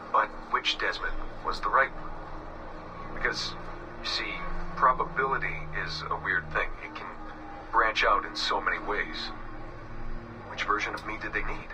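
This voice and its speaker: An actor playing a weary, haunted young man, recording a halting, reflective confession in a subdued murmur for a game. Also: fairly low pitch, lo-fi sound, background noise, lightly acted. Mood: brooding, resigned. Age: young adult. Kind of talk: recorded confessional audio diary, game voice acting. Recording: distant game audio with music behind. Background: music.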